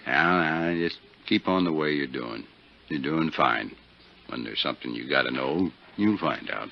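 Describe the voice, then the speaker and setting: A man's voice: extremely low pitch, animated, unhurried, vocal fry, heavily acted. An actor playing a shrewd, folksy small-town sheriff in a 1950s radio drama, with fatherly, rustic talk. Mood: dry, knowing. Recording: dull 1950s radio recording.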